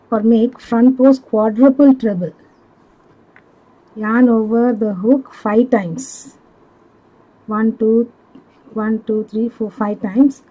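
A woman giving step-by-step craft instructions in a measured, halting manner, as a tutorial instructor. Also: a little high, narrow, tinny audio. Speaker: crochet instructor.

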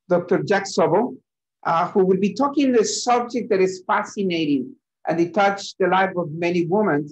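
A man hosting an expert interview, speaking haltingly with frequent fillers. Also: high voice, lo-fi sound. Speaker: host, center director. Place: conference room.

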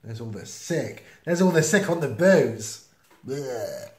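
A man reading a children's picture book aloud in an expressive, playful manner. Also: mid-range voice. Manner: expressive, slow, with playful sound effects and asides. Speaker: storytime reader. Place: small indoor room, seen close to the camera. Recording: roomy, echoey home video sound.

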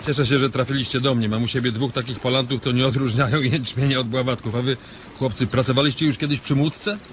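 A man playing an easygoing, self-assured ranch boss in a radio drama, calm and matter-of-fact. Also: medium pitch, fast talker, lightly acted. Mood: friendly. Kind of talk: Polish radio drama acting. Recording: noisy, birdsong ambience behind.

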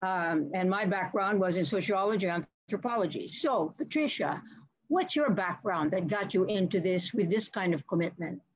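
A woman's voice: fairly low pitch, expressive, normal speed, slightly rough, dry recording. Older woman, seminar moderator, storytelling manner, muffled dry call audio. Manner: reflective, storytelling, chatty asides. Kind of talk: academic webinar conversation. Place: home study with bookshelves. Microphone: laptop video call.

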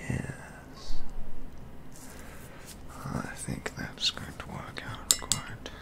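A young man in his twenties playing a pompous, fussy model painter in a soft-spoken ASMR roleplay, whispering breathily in slow, ornate, halting phrases close to the mic. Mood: absorbed, fussy. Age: 20s. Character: pompous, rapturous male model painter and collector, fussy aesthete. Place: indoor studio with plain backdrop. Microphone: close ASMR microphone.

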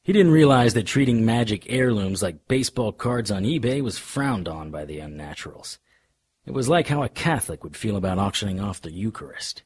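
An actor playing a wry, deadpan, hardboiled supernatural private investigator narrating a noir radio drama in a husky voice. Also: male voice, lowish voice. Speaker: narrator and lead.

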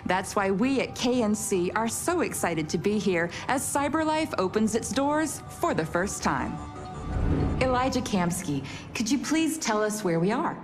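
A woman's voice: mid-range voice, animated, a little slow, music in the background, lightly acted. A woman playing a poised TV news reporter in a game, with formal, polished broadcast delivery. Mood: curious, professional. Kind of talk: broadcast news narration and interview.

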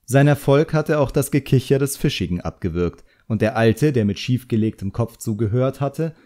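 A man's voice: fairly low pitch, mellow. A man narrating a literary audiobook in a dramatic storytelling style.

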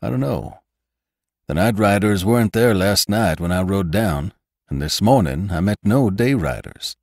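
A man's voice: deep voice, expressive, a little slow, a little mellow, no room sound, studio quality. A man voicing a loyal, earnest cowboy rider in a western audiobook, with plainspoken, folksy phrasing. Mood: puzzled. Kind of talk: audiobook character acting.

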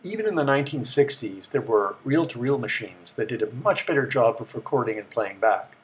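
A middle-aged man giving a measured, matter-of-fact scripted explanation as the presenter of a technical tour. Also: medium pitch, expressive. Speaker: presenter of a vintage electronics tour.

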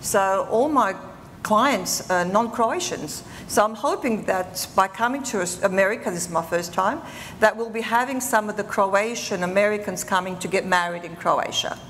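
An older woman, a panelist on a conference stage, talking warmly with many ums. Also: medium pitch, moderate pace, slight background noise. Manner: talkative, warm, many ums. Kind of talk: panel talk. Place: conference stage in a large hall. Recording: reverberant hall recording.

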